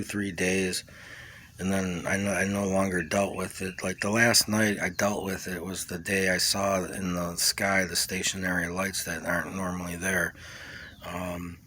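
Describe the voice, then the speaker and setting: A man recording an informal, rambling personal testimony, speaking in a halting, reflective way with repeated words and trailing asides. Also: low voice, sharp tone, a little hiss or hum. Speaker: vlogger sharing a personal testimony. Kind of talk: rambling, informal monologue recounting personal experiences.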